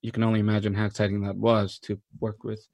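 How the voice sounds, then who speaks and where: A man from Mexico, a cinematographer, talking about his career in a relaxed interview with frequent fillers and restarts. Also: on the low side, fast, no echo. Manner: thoughtful, many fillers and restarts, enthusiastic. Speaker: cinematographer guest.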